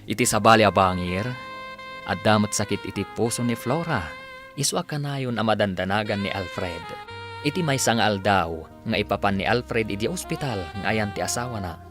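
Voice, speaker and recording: A man with an Ilocano accent announcing and narrating a scripted radio drama in a dramatic way. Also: on the low side. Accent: Ilocano, northern Philippines. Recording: music under the voice. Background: music.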